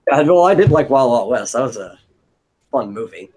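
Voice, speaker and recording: A man's voice: medium pitch, animated, normal speed, a little mellow, husky. A man hosting a casual brainstorming chat, thinking aloud in a loose way. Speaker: host of a brainstorming stream. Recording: noticeable background noise.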